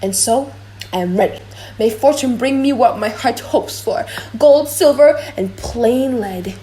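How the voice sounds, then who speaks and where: A woman's voice: on the high side, unhurried, dark tone, a little hiss or hum, lightly acted, rough recording. A woman voicing a vain, pompous prince in a dramatic audiobook, musing aloud theatrically. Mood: haughty musing. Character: vain, pompous foreign prince weighing his choice aloud.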